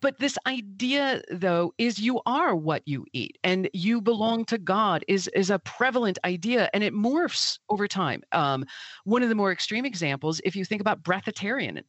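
A woman's voice: medium pitch, expressive, moderate pace, no echo. A woman with a Midwestern accent, a guest author on a podcast interview, explaining her subject at length in an easy, informal way. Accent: Midwestern American.

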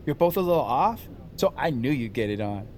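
An actor plays a friendly, laid-back male coworker. He speaks at middle pitch in chummy, slangy rambles with a teasing streak. There is faint noise behind this audio drama voice. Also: sing-song, fairly quick, a little mellow, close to an everyday voice.

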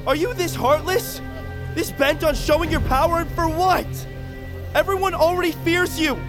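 A very high, emotional boy's voice in a cinematic fantasy drama. He is an anguished young tribesman who pleads and challenges openly, with music clearly underneath. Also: fairly even intonation, moderate pace. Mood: anguished, accusing. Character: anguished, loyal exiled boy of a tribe.

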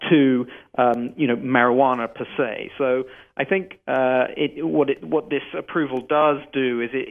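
A man, a company chief executive, answering interview questions carefully, with frequent restarts. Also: medium pitch. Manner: measured, careful, restarts often. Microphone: phone. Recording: tinny phone line.

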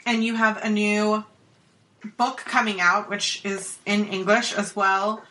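A woman's voice: mid-range voice, steady tone, unhurried, pushed and bright, poor audio. A woman co-hosting a casual podcast, chatty and upbeat as she keeps the conversation moving and brings in a guest. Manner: chatty, upbeat, runs the interview. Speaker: podcast co-host.

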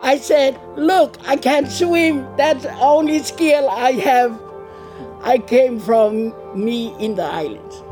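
An older woman from Samoa, speaking emotionally and haltingly. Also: high-pitched, darkish tone, faint music behind. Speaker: community resident.

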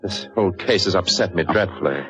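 An actor playing a sheepish, anxious, apologetic gentleman, hesitant and polite, in 1940s radio style. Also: deep voice, brisk, faint music behind. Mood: ashamed.

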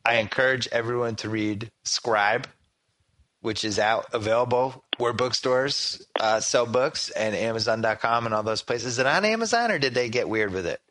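A man's voice: medium pitch, fairly bright tone. A man hosting a podcast interview who chats loosely, leaning on fillers like "like". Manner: chatty, fast and loose with fillers like "like". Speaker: podcast host.

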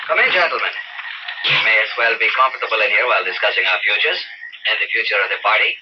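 A man's voice: fairly low pitch, expressive, quick pace, lightly acted. An actor playing a ruthless male political plotter in an old radio thriller, formal and coolly authoritative. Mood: coolly commanding. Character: smooth-talking, ruthless male political plotter, the boss villain. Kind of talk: old-time radio adventure drama acting. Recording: dull, distant vintage radio with hiss.

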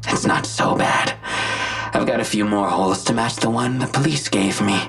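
Deep, gravelly, smug villain. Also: male voice, fairly bright tone, faint music behind. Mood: smug, mocking. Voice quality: gravelly, husky. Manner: slow, mocking, theatrical. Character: smug imprisoned male villain, gloating, wounded, taunting. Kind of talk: audio drama acting.

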